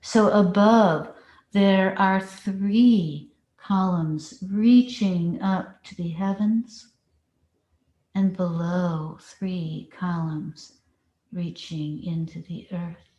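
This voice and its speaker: A woman leads a guided meditation in an extremely unhurried way, leaving long pauses between phrases. Her tone is mellow and relaxed, and the room around her adds a clear echo. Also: on the low side, muffled recording. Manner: slow, deliberate instructing with long pauses. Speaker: meditation teacher. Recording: roomy, echoing space.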